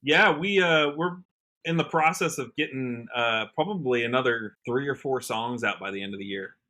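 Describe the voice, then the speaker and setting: A man's voice: medium pitch, moderate pace, bright, forceful tone. A man with an American accent, chatting casually as a podcast guest. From Washington state, United States. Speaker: podcast guest, country singer. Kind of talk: casual interview chat.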